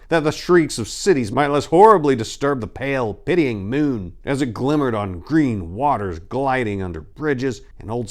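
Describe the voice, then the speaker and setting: A man reading a horror tale dramatically as a haunted, dread-filled narrator, theatrical and ominous with drawn-out emphasis. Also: medium pitch, pitched above the performer's own voice, lightly acted. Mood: fevered dread.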